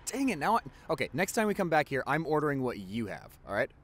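A man's voice: on the high side, big pitch swings, fast talker. A young man playing an affectionate, playful doctor boyfriend in an intimate audio roleplay, chatty and gushing as he talks to the listener. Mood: playful, excited. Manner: gushing, chatty, with repeated words and exclamations.

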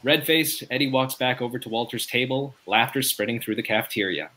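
A man with a medium-pitched voice narrates a sitcom script. He reads the scene headings and stage directions from home over a video call. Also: brisk, bright, forceful tone.

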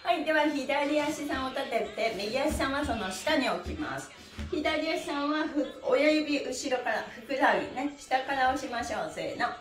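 High, animated woman instructor, roomy sound. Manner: cheerful, animated, calling out drawn-out chants. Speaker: stretching instructor and pantomime teacher. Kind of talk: livestreamed exercise class with rhythmic chanted counts. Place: small indoor studio with bare wall. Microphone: camera a few metres away. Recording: roomy, distant microphone, faint music.

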